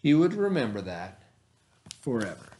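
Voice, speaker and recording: A man speaking as a teacher to children, expressive and encouraging, with dramatic emphasis and pauses. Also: mid-range voice. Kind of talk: expressive read-aloud for children.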